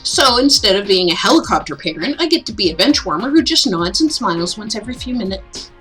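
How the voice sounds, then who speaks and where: A breezy male school counselor acted out by a woman in a story game, teasing and mock-grand. The voice is bright and lively, swinging about in pitch, with music underneath. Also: fast talker. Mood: casual, teasing. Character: breezy, wisecracking male school counselor, playful slacker mentor.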